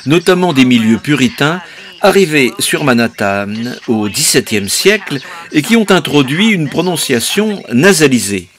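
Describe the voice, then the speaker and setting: A man giving scripted documentary narration in a measured, explanatory way. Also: fairly high pitch, big pitch swings, fairly bright tone.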